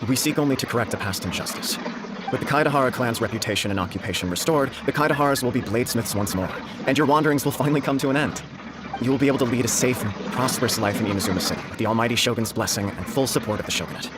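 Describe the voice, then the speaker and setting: A man playing a courteous young nobleman in a video game, speaking in a formal, diplomatic way over loud noise. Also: mid-range voice, natural voice. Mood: reassuring. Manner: formal, diplomatic, measured wording. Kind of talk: video game character acting.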